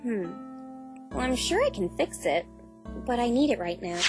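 A woman's voice: on the high side, very animated, normal speed. A woman voicing a serious, guarded, bookish young female unicorn pony in cartoon game dialogue, expressively. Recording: background music under the voice.

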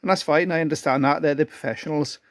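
A man with a fairly high speaking voice talks through a welding demonstration in his garage workshop. He is plain and modest and explains each step as he goes. The sound is dry and close, with little room echo. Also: fairly even intonation, fast. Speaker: amateur car restorer demonstrating welding.

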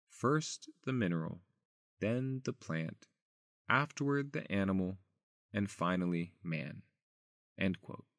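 Male narrator for an audio article: slightly low pitch, dry close sound, formal and deliberate delivery. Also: no room sound. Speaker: article reader. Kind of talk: scripted narration, reading an article aloud.

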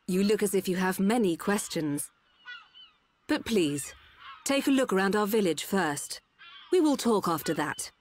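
An actress playing a composed machine-person doctor in a video game, speaking in a formal, measured, authoritative way. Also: female voice, mid-range voice, lively intonation, darkish tone, a little hiss or hum, natural voice. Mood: calm, courteous. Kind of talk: scripted video game cutscene acting. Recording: game audio with background ambience.

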